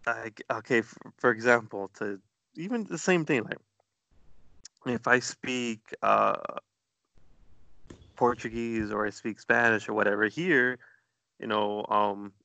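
A man from the southern United States talks loosely on a podcast, circling around his point as he hunts for words. His voice is bright and edgy with a gravelly crackle, and it sounds dry and close to the mic. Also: mid-range voice, measured pace, pauses often, dry recording. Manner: rambling, searching for words. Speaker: podcast co-host. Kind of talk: casual chat.

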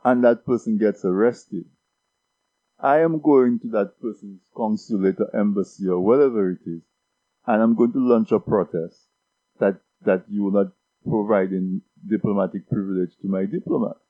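A man's voice: fairly low pitch, normal speed, dry recording. Man from Dominica, casual talk guest, stammering repeats, dry lo-fi recording. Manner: repeats words while building points. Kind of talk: casual discussion. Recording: dull lo-fi sound.

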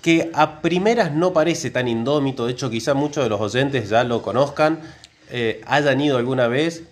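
A man's voice: mid-range voice, moderate pace, faint music behind. Argentine man chatting on a podcast. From Córdoba, Argentina. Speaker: podcast co-host, biologist. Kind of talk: casual podcast conversation.

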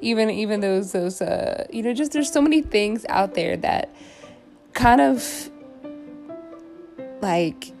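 A woman's voice: mid-range voice, lively intonation, slow, pauses often, darkish tone. A young woman hosting her first podcast late at night, musing aloud in a tired voice and rambling with many fillers and restarts. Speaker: podcast host. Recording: clear music playing behind.

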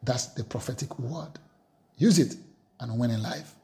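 A man's voice: medium pitch, unhurried, mellow. A man preaching a sermon, reading scripture aloud with emphatic, rising delivery.

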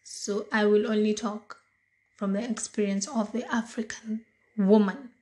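Kenyan woman in her thirties, slightly hoarse, unhurried chatty monologue, lots of ums and pauses, very slow delivery. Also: medium pitch, fairly even intonation, warm tone. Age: 30s. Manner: slow, deliberate, chatty with frequent fillers. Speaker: podcast host. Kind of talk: casual solo monologue.

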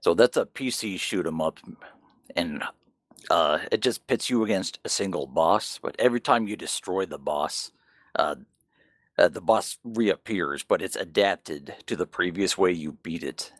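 Deep-voiced man, dry close sound. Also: a little slow, no room sound. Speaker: podcast co-host. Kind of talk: casual video game chat.

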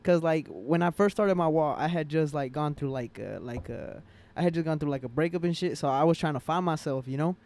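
Slangy young man, slightly high voice. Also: fairly quick, darkish tone. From Atlanta area, Georgia, USA. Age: young adult. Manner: slangy, rambling, heavy use of "like". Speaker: interview guest, vlogger. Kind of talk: casual chat.

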